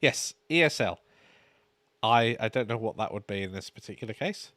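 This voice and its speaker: A man's voice: fairly low pitch, expressive, normal speed, a bit bright. Easygoing man co-hosting live stream. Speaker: co-host. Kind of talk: casual live stream chat.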